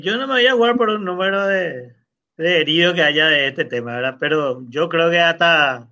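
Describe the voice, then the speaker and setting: A man's voice: on the high side, bright, forceful tone. A man speaking casually as a panelist over dull, lo-fi audio. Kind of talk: casual group discussion.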